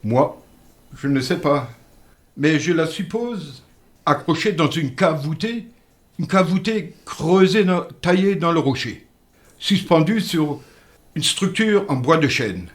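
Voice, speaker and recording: An older man, a native dialect speaker, telling village history in a radio interview in an earnest, measured way. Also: on the high side, animated. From Moselle, France. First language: Alsatian-Lorraine Franconian dialect. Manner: earnest, measured storyteller with halting restarts. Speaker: interview guest. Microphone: studio microphone.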